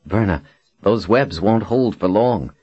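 An anxious, cautious man acted by a male narrator in an audiobook. He speaks in a thin, tense hush with a husky edge. The recording is a touch dull. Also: on the low side, a little slow, no echo, voice pushed up. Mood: anxious caution. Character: anxious, cautious male companion urging haste.

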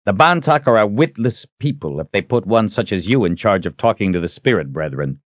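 A man's voice: lowish voice, animated, brisk, no echo, muffled recording, pitched above the performer's own voice. A man voicing a cold, defiant fantasy hero in an audiobook, taunting and intense. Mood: cold menace. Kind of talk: dramatic audiobook character acting.

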